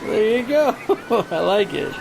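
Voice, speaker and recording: A man's voice: high voice, very animated, slow, creaky. A man hosting a casual phone interview in a conversational way. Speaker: podcast host. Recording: noisy phone call with outdoor ambience.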